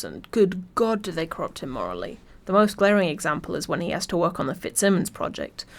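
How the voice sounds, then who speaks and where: A woman reading scripted video essay narration in a wry, informal way. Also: on the low side.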